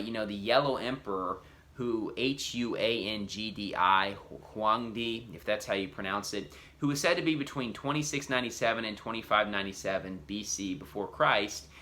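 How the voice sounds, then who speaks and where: A man's voice: on the low side, bright, forceful tone. A man preacher giving an earnest teaching talk, explaining step by step with frequent restarts and "you know".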